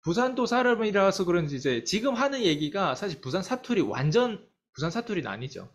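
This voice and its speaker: A man's voice: high-pitched, big pitch swings, a little fast. Man hosting an interview with winding questions. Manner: hesitant, long winding questions, frequent "mm" backchannels. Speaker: interviewer, coaching company head.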